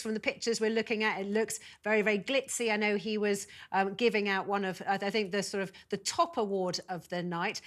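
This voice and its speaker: Casting: female British TV news presenter, mid-range voice, conducting live interviews with guests. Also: medium pitch. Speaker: news show host.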